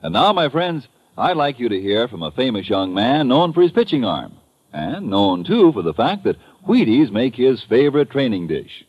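A man's voice: medium pitch, very animated, normal speed, breathy edge. An American man announcing a 1950s radio commercial in an emphatic, folksy selling style. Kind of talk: 1950s radio advertising pitch. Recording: muffled, echoey old radio recording.

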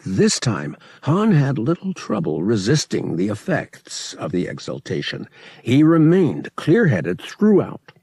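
A man's voice: mid-range voice, wildly swooping pitch, a little slow, a little mellow, husky, no room sound. A man narrating a novel as an audiobook reader, in a scripted storytelling style.

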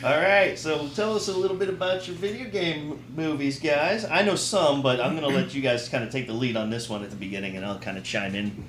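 A man's voice: a little high, fairly quick, hardly any pauses, a bit bright. A man hosting a podcast in a casual, chatty style. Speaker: podcast host. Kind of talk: casual chat. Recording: roomy echo, faint background noise.

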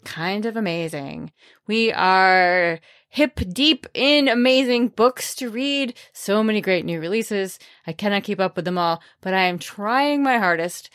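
A woman's voice: mid-range voice, expressive, unhurried, a bit bright, no echo, studio quality. A woman hosting a podcast, talking casually and chattily about books with playful, wry humor. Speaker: podcast host. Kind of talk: casual, chatty solo book talk.

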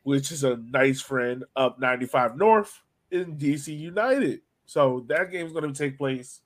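A man with a mid-pitched voice hosts a casual sports podcast. He talks like a fan among friends, working out his thoughts aloud. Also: unhurried.

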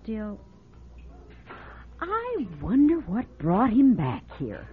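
An actress playing a chatty small-town motel clerk in an old-time radio drama, gossipy in manner. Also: female voice, a little high, huge pitch swings, very slow, heavily acted. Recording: tinny lo-fi radio broadcast.

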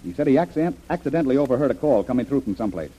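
Husky, animated radio adventure hero. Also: male voice, mid-range voice, very fast. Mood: tense, decisive. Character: confident male adventure hero, brisk and reassuring. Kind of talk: old-time radio drama acting. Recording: dull, band-limited vintage radio recording.

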